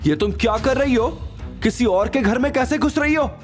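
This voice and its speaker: Guy doing a jealous snooty young wife, high voice, sneery and worked up, audio drama with music behind. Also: normal speed. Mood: scornful. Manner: sneering, incredulous, exclaiming. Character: envious, snobbish young wife, excitable and sneering.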